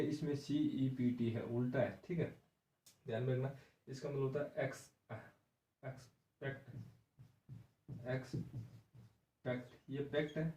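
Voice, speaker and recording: A man's voice: mid-range voice, mellow, far from the mic. A man teaching an exam-prep vocabulary lesson who explains patiently, repeats words and spells them out letter by letter. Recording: echoey room, voice somewhat off-mic.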